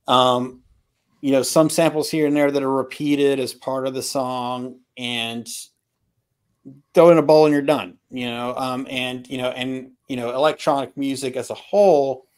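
A middle-aged man in a casual music discussion on a video call, halting and opinionated, often clearing his throat. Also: mid-range voice, fairly even intonation. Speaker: album review panelist. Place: home room with records, indoors.

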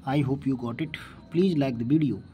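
A man with an Indian accent tutoring physics, working through a problem step by step in deliberate, halting phrases. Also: mid-range voice, mellow, soft background music. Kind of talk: step-by-step worked-problem lesson.

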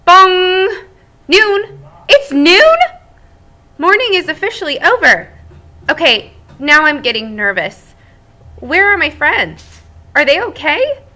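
A woman's voice: very high voice, slow pace, long pauses, voice pushed up, full character voice. A woman doing a chatty little egg guy. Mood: fretting. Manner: bouncy, expressive storytelling. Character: chatty cheerful male egg, fond of quiet. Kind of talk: storybook read-aloud.

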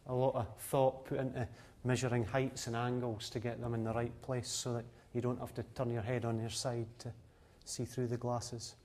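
Man, 30s, mid-pitched; a practical owner giving straightforward explanations. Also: level tone, normal speed, few pauses, a little mellow, slight background noise. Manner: plain, matter-of-fact explanations with frequent "uh". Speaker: distillery owner. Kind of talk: explaining on a tour. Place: indoors in a distillery still house and a cask warehouse.

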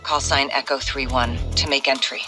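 An actress playing a composed American intelligence chief in a military game, giving a clipped, matter-of-fact mission briefing. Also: female voice, very deep voice, level tone, moderate pace, music in the background. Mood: composed, serious. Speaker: intelligence officer.

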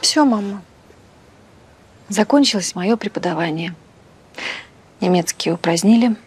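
A young actress playing a worried young teacher with a breathy voice. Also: female voice, medium pitch, a little slow, a little mellow. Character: worried young woman, a dismissed German teacher.

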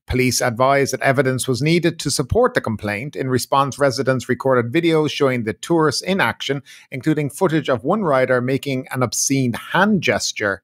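A middle-aged man hosting a news show, reading the stories in a steady, even newsreader delivery into a studio mic. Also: mid-range voice. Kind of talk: read news bulletin. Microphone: studio mic in frame.

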